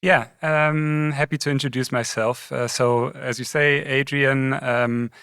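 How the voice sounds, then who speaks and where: A man's voice: mid-range voice, steady tone, a little slow, gravelly, a bit bright, smooth, no echo, studio quality. A man in his thirties from Germany with a German accent, a guest chatting in a friendly, thoughtful way on a podcast. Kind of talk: casual conversation.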